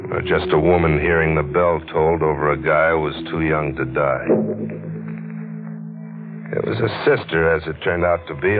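A world-weary crime newspaperman in a 1940s radio noir. His voice is very low, with a terse, hard-boiled weariness. It comes through a muffled vintage recording with music behind.

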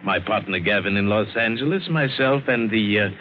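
An actor playing a coolly condescending criminal boss, speaking with sardonic politeness in a vintage radio drama. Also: male voice, lowish voice, animated, moderate pace, natural voice. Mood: mocking, amused. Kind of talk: 1940s-50s American radio drama acting. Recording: dull, faintly hissy old radio broadcast.

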